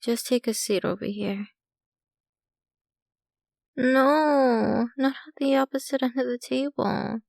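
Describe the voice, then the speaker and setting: A woman's voice: high voice, gravelly, no echo, studio quality, heavily acted. A woman playing a possessive, doting, ancient and wealthy vampire girlfriend in an intimate, teasing ASMR roleplay. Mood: sweetly possessive. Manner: sweetly possessive, teasing, unhurried, addressing the listener intimately.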